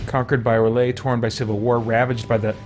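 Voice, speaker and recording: A man's voice: mid-range voice, little pitch movement, fairly quick, music in the background. A man hosting a let's play, thinking aloud and reading game text as he goes.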